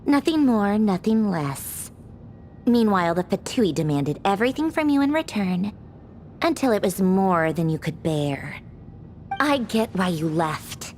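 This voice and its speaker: A woman's voice: medium pitch, expressive, measured pace, a little mellow, slight background noise, close to an everyday voice. An actress playing a haughty, sardonic young female villain officer in a video game, dismissive and theatrical, with wistfulness underneath. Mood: frank, stern.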